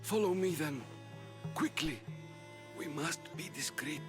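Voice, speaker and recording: A timid older priest in an ancient Greek game drama, played by a man. He is evasive and hesitant, stumbling through his words with nervous ums. Music plays clearly behind him. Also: mid-range voice, lively intonation, a little slow, close to an everyday voice. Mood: anxious, secretive. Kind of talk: cinematic video game acting.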